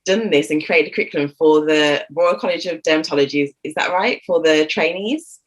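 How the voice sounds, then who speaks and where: A woman's voice: low voice, brisk. A woman in her thirties, a GP hosting an interview on a video call, chatty and rambling with lots of fillers. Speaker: host, GP. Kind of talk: casual interview chat.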